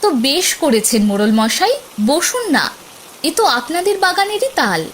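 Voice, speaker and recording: An actress playing a caring, brisk village mother in a cartoon fairy tale. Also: extremely high pitch, sing-song. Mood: indulgent. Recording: rain noise behind.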